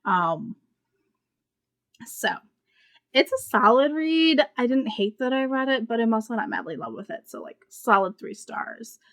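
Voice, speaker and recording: A woman's voice: a little high, sing-song, unhurried, a bit bright. A woman reviewing books in a casual, informal way, thinking aloud in loose, rambling sentences. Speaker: book reviewer. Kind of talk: casual spoken book review.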